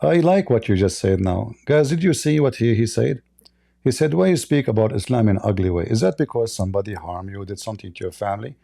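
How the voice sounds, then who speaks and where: A man's voice: fairly low pitch, lively intonation, fast talker, vocal fry, mellow, no echo. A man whose first language is Arabic, hosting a live call-in debate in a combative, mocking way. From Middle East. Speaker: host.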